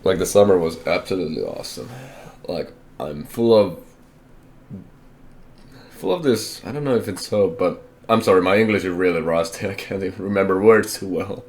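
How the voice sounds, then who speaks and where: Deep-voiced young man, halting and candid. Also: animated, darkish tone. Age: young adult. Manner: halting, hesitant, self-correcting, candid. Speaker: vlogger. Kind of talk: personal life update.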